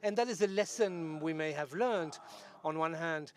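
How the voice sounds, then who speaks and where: A man with a slightly high voice interprets a debate live. He delivers the sentences piece by piece, in a halting way.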